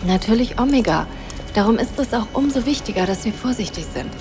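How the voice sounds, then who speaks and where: A woman playing a resourceful young woman who talks quietly and often whispers to stay hidden. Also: on the high side, lively intonation, brisk, music in the background. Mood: hushed. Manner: hushed, practical, often whispering.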